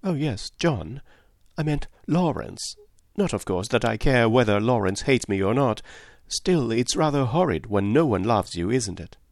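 A man's voice: mid-range voice, lively intonation, a little slow, darkish tone, no room sound, clean studio sound. A male narrator voicing a kind, candid young English woman who talks in an earnest, confiding, slightly plaintive way. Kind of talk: audiobook character voice.

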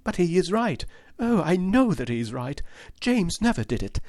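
A man's voice: high voice, big pitch swings, normal speed, darkish tone, no room sound, studio quality, pitched above the performer's own voice. A man reading aloud, voicing an earnest, distressed young woman who pleads insistently in a raised voice. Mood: earnest pleading.

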